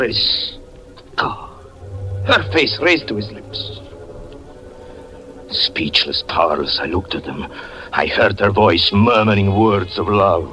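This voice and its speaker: A man's voice: fairly low pitch, creaky, pushed and bright, full character voice, rough recording. An actor in 1940s radio acting style, playing a haunted man who breathlessly recounts his own murder. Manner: intense, breathless dramatic narration.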